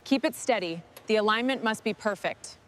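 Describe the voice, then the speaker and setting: A woman leading a restoration crew, giving calm, clipped instructions in scripted workshop dialogue. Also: medium pitch, normal speed, very noisy.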